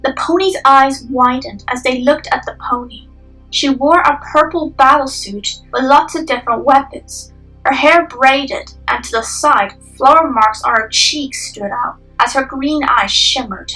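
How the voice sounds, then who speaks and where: A woman's voice: sits high, measured pace, pushed and bright, recorded from a distance, soft background music, rough recording. A young adult woman reading scripted narration for a dramatic story.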